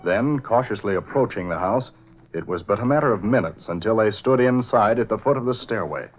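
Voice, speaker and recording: A man's voice: fairly low pitch, animated, a little fast, soft background music. A man announcing and narrating in scripted 1940s radio style, with dramatic, emphatic delivery. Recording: old lo-fi radio broadcast.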